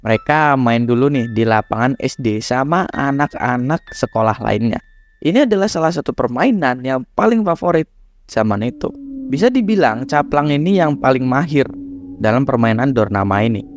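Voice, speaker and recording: A young man, hoarse and nasal from a cold, chatting casually to viewers as he tells a horror story. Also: medium pitch, animated, normal speed. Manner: chatty, addresses viewers as guys. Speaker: horror storyteller, channel host.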